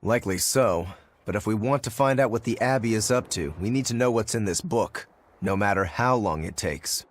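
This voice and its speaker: A man's voice: lowish voice, normal speed, a little hiss or hum, natural voice. A man playing a pragmatic, determined adventurer in a video game cutscene.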